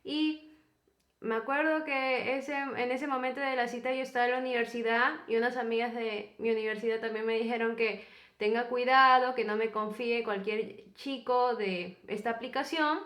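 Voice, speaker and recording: A young Peruvian woman in her twenties co-hosting a couple's vlog, telling their story in a chatty, animated way. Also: fairly high pitch, normal speed, echoey room. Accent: Peruvian Spanish. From Peru. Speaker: co-host of a couple's channel.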